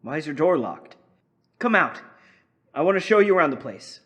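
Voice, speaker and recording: A man acting a menacing, unhinged husband obsessed with his dead sister, speaking in a deliberate, domineering way in a radio thriller style. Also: on the high side, very animated, a bit bright. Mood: insistent, menacing.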